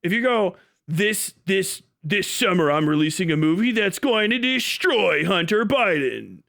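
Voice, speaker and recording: A man's voice: sits high, big pitch swings, measured pace, gravelly, fairly bright tone. A man hosting a livestream, giving off-the-cuff commentary in an emphatic, repetitive, argumentative way with casual swearing. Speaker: live stream host.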